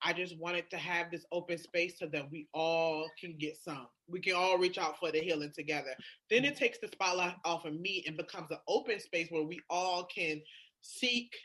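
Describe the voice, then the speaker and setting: A woman's voice: fairly low pitch, moderate pace, a bit bright. A woman in a candid, casual podcast conversation, explaining her points emphatically with everyday slang. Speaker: podcast co-host.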